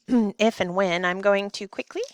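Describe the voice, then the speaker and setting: Female elementary teacher, recorded lesson for children, slow and simple directions, dry close recording. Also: medium pitch, dry recording. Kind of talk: recorded classroom lesson, step-by-step instruction.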